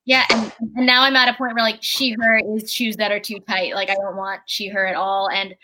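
A person with a very high speaking voice, chatting casually as a guest at home, rambling and halting with lots of fillers and restarts. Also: female voice, little pitch movement, noisy background. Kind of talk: casual chat. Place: at home with a candle lit.

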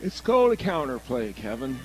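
A man with a medium-pitched voice gives color commentary on a live radio football broadcast. He explains plays conversationally, haltingly, often backing up to restart a phrase. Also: big pitch swings, darkish tone, rough recording.

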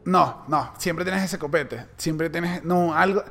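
Young venezuelan guy with a high voice, teasing his cohost nonstop. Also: slow, gravelly, fairly bright tone. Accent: Venezuelan Spanish. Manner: teasing, laughs often. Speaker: podcast co-host. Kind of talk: casual comedic chat.

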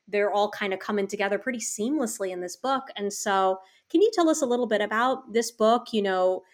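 A woman's voice: medium pitch, a little fast. A woman interviewing an author, chatty and enthusiastic, with many "like" fillers. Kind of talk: interview conversation.

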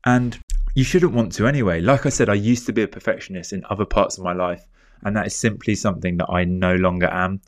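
Congested man hosting a podcast. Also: fairly low pitch. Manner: earnest, measured, repeats key points. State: congested from a cold. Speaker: podcast host. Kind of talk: solo reflective podcast monologue.

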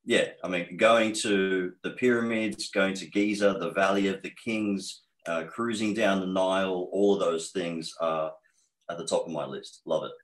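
A man's voice: low-pitched, fairly even intonation, moderate pace, roomy sound, rough recording. A man in his thirties with an Australian accent, a guest in a podcast interview, talking in an earnest, upbeat way with many fillers and restarts. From Australia, born in Egypt. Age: 30s.

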